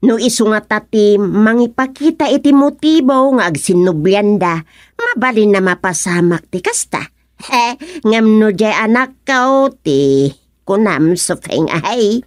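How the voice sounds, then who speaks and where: A woman's voice: mid-range voice, lively intonation, a little slow, no room sound, natural voice. A gossipy village woman from a radio comedy-drama, played by a woman. She has an Ilocano accent and takes her time over the words. Her melody rises and falls widely, and the recording is very dry.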